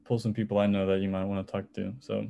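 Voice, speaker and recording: A young man in college, a music producer, talking as a podcast guest in a relaxed, rambling way. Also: on the low side, fast talker, vocal fry. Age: young adult. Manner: relaxed, rambling, many "it's like" fillers. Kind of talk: casual interview chat.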